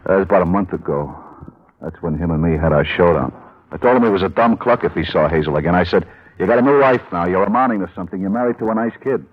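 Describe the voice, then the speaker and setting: A man's voice: low voice, fast talker. An American actor playing a weary, blunt working-class family man in a 1950s radio drama, plain-spoken and colloquial. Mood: resigned, bitter. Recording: muffled, lo-fi old radio recording.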